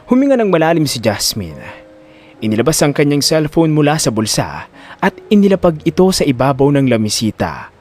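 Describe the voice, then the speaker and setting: Masiglang lalaking tagapagsalaysay ng drama. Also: male voice, a little high, huge pitch swings, a little fast, darkish tone. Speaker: audio drama narrator. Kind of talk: scripted dramatic story narration.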